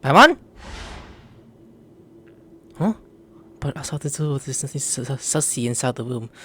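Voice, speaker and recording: A man's voice: mid-range voice, animated, a little slow, sharp tone. A man hosting a gaming video, commenting casually on what happens as he plays. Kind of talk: casual gameplay commentary, reading lines along. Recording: faint background noise.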